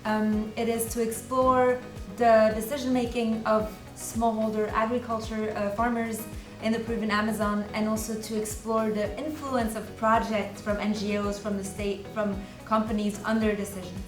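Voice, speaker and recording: A woman from Belgium and Germany, a doctoral student, calmly introducing herself and her game. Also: a little high, moderate pace. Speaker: PhD student. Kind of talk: interview. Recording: roomy, distant mic. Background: background music.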